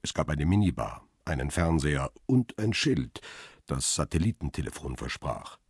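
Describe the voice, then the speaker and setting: A man's voice: extremely low pitch, very animated, moderate pace, breathy edge, no echo, clean studio sound, exaggerated voice. An actor playing a world-weary middle-aged photographer, telling his own story soberly and laconically in a radio crime drama. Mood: sober, observant. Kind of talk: first-person radio crime drama narration.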